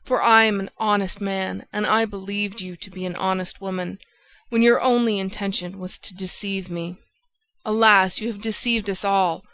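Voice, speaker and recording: A woman's voice: mid-range voice, steady tone, normal speed, a bit bright, lightly acted. A woman reading the part of an impassioned, jealous young man who hurls angry accusations. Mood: bitter reproach.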